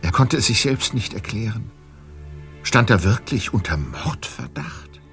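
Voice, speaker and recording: A man narrating a radio drama with a very deep, low voice, grave and measured, over a faint bed of music. The delivery is scripted and even. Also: vocal fry.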